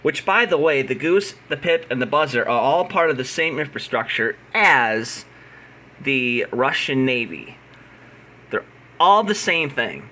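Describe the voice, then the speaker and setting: A man's voice: mid-range voice, sing-song, a little slow, sharp tone. An American man giving an unscripted, opinionated explainer in a blunt, combative, emphatic manner. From United States. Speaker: radio signal hobbyist.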